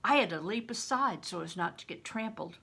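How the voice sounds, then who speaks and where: An older woman reading a children's novel aloud as a storyteller, with an expressive, dramatic and emphatic delivery. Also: medium pitch, moderate pace, gravelly, slightly rough. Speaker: retired reading teacher, storyteller.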